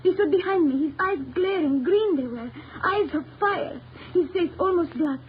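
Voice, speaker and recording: An actress playing a frightened, insistent young woman in an old-time radio melodrama, halting and emphatic, on a tinny recording. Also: very high-pitched. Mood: anxious, haunted. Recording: tinny, narrow, hissy vintage radio recording.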